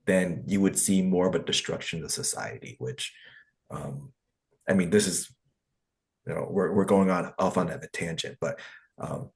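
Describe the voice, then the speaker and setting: A man's voice: low voice, moderate pace, creaky. A man hosting an English conversation meeting, giving encouraging, rambling feedback with many fillers. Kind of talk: casual feedback in an online meeting.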